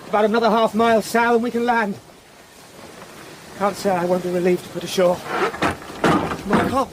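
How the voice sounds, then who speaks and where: A man acting a domineering, hot-tempered but protective husband in an audio drama, emphatic, dropping to a hush at times. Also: high-pitched, little pitch movement, moderate pace, background noise, natural voice. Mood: relieved. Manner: emphatic, scornful when roused, hushed when tender.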